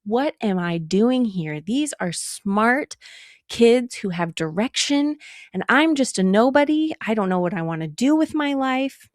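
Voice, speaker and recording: A woman's voice: a little high, lively intonation, a little slow, no room sound, clean studio sound. A woman from Utah, a comedian guest telling personal stories in an interview, laughing often and acting out her own past thoughts. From Utah, USA.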